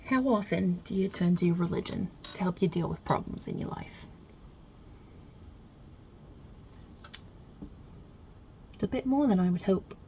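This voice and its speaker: Girl doing a creaky low-voiced italian new york mob boss god for asmr roleplay, blunt n chummy, rambles, bit of hiss. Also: fairly quick, mellow, poor audio. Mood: businesslike. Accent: put-on Italian-New York. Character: non-binary God as brash, short-tempered Italian mob boss. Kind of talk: ASMR roleplay interview, improvised.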